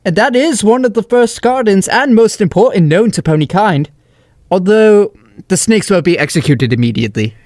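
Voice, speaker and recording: A woman's voice: medium pitch, animated, normal speed, darkish tone, close to an everyday voice. A woman playing a silly, self-important earth pony chancellor in a cartoon audio drama, rambling theatrically in muddled similes. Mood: grand.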